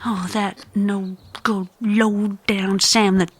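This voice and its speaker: A woman's voice: medium pitch, flat delivery, slow pace, husky. A woman playing a flirtatious rural Southern wife and mother in a comedic western audio drama, coy and folksy, with a Southern US accent. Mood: folksy, aggrieved.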